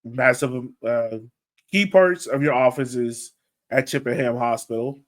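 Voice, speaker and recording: A man with a medium-pitched voice chats casually as the co-host of a sports podcast. His speech is informal and colloquial, like relaxed fan talk. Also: unhurried.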